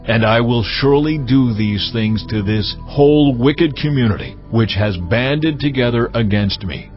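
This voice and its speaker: Male actor as stern deity, low voice, solemn commanding delivery, dramatized scripture, slightly dull sound, music behind. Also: lively intonation, a little slow, lightly acted. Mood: stern, wrathful. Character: God, stern male divine lawgiver speaking solemn decrees. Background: background music.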